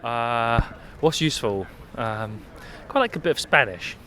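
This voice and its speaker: A man's voice: medium pitch, animated, unhurried, pushed and bright. A man thinking aloud as he answers a street interviewer. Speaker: passer-by.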